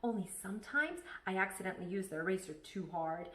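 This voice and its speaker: A woman's voice: mid-range voice, animated, brisk, far from the mic. A woman narrating a children's story expressively, like a teacher reading aloud to pupils. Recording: echoey room.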